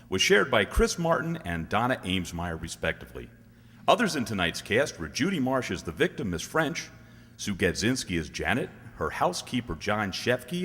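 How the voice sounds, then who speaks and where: A man working as a radio announcer, reading a scripted announcement in a theatrical style with a husky voice. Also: fairly low pitch, fairly bright tone. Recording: reverberant hall.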